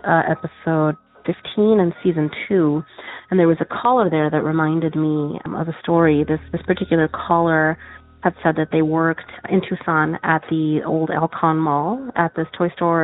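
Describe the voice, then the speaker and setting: A woman with a low voice, from Arizona, leaves a chatty voicemail full of small hesitations. The line sounds narrow and phone-like, with soft music in the background. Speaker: caller. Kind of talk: recorded voicemail story.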